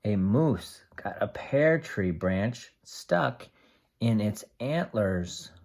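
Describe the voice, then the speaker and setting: Slow, animated read-aloud voice for children, slightly husky. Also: medium pitch. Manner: explains simply to children, expressive storytelling. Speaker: teacher reading aloud to young children. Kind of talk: children's picture book read-aloud with asides.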